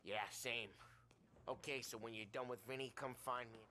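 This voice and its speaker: Man playing brash mid-pitched mob enforcer. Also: steady tone, moderate pace, pushed and bright, lightly acted. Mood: businesslike. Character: hot-headed, brash mafia enforcer. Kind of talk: cinematic game acting.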